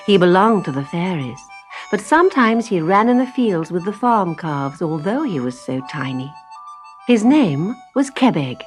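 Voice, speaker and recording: A woman narrating a children's story in an expressive, carefully phrased way. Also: fairly low pitch.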